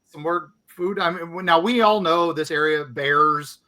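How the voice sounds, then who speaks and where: A man's voice: a little high, unhurried, bright, forceful tone. A man co-hosting a podcast, chatting loosely with many fillers and reading listener letters aloud. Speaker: podcast co-host. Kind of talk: casual chat, reading listener stories.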